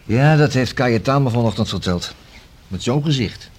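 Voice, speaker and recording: An actor in a Dutch radio play playing a methodical, collegial senior police detective who explains things conversationally, with small hesitations. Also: male voice, medium pitch, lively intonation, moderate pace, a little mellow, breathy edge. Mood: chatty, musing.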